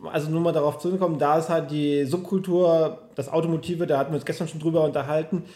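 A man in his thirties speaks as a guest in a casual conversation. His voice sits a little higher than most men's. He tells stories informally, and the room echoes noticeably. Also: steady tone, fast, mellow. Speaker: podcast guest. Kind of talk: casual chat, personal storytelling. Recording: roomy, echoing room.

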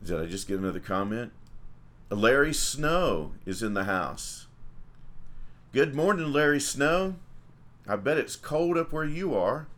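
A man's voice: mid-range voice, expressive, unhurried, pauses often, fairly bright tone. A man, a priest leading livestreamed morning prayer and chatting in a folksy way with viewers.

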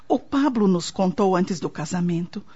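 A woman's voice: on the low side, lively intonation, normal speed, dark tone, slightly rough. A woman playing an anxious, fretful mother and grandmother in a radio soap opera. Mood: concerned. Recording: dull lo-fi radio recording.

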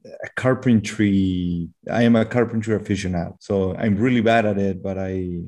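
Deep, gravelly middle-aged Peruvian-accented man. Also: steady tone, moderate pace, warm tone. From Peru. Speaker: interview guest, company executive. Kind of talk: explanatory conversational interview talk.

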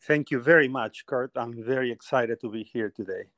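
A man's voice: mid-range voice, normal speed, no echo. Peruvian man telling his story, dry sound. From Peru. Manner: animated storyteller, laughs often. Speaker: guest painter. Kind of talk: conversational interview.

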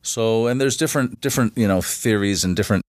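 A man with a very low voice talks as a guest on a podcast. He explains at length in a halting way, full of restarts and fillers. The sound is dry, with no room echo. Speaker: guest, shamanic facilitator. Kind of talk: casual podcast interview.

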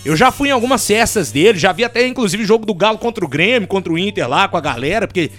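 High-voiced mineiro man chatting. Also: lively intonation, quick pace, fairly bright tone, faint music behind. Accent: Minas Gerais Portuguese. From Brazil. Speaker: podcast co-host. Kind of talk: casual podcast chat.

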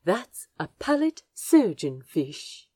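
A woman playing a gentle, fussy, eager male nature guide in a fan audio drama, prim and fond as he explains. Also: lowish voice, very animated, slow, breathy edge, no echo, studio quality, exaggerated voice. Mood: fond, pleased.

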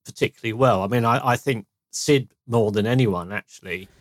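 A man co-hosting a podcast, reviewing an old radio comedy in a measured, explanatory way. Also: mid-range voice, no room sound, studio quality. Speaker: podcast co-host. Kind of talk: casual review chat.

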